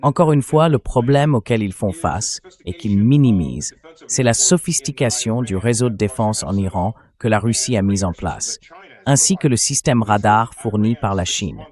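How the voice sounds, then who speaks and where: A man's synthetic voice reading a translated interview as a military commentator, in a steady, explanatory delivery. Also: fairly low pitch, moderate pace.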